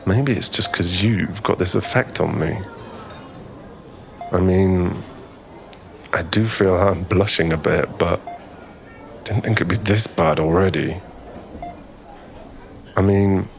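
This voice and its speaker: Male actor as bashful young suitor for ASMR boyfriend roleplay: low register, earnest, stumbling delivery, noticeable background ambience. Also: a little hiss or hum. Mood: embarrassed, flustered. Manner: hesitant, stammering, earnest, bashful.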